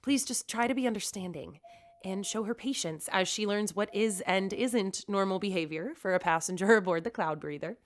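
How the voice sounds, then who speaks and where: A woman's voice: medium pitch, moderate pace, close to an everyday voice. An actress playing a computerized woman store owner and new mother, rambling a little nervously in a recorded message. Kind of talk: audio drama acting, recorded message.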